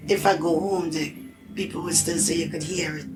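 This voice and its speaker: A woman's voice: lowish voice, fairly even intonation, moderate pace, background noise. An older woman from Trinidad with a thick Trinidadian accent, talking plainly and emphatically in a casual family conversation. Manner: plain-spoken, emphatic, broken Caribbean grammar. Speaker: grandmother guest.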